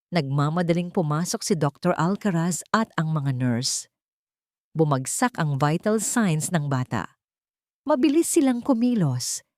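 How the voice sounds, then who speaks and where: A woman narrating a scripted audiobook drama in a steady, dramatic storytelling manner. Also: fairly low pitch, dry recording, clean studio sound.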